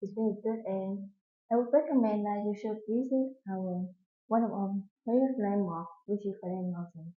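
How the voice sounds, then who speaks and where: A young woman answering speaking-exam questions as a candidate, slow and hesitant, searching for words. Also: mid-range voice. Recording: echoey, tinny phone-like sound.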